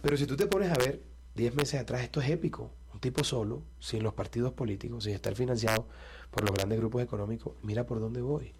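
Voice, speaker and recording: A man's voice: mid-range voice, a little fast, a little hiss or hum. A man with a Colombian Caribbean coast accent, a presidential candidate answering interview questions in a confident, combative and emphatic way.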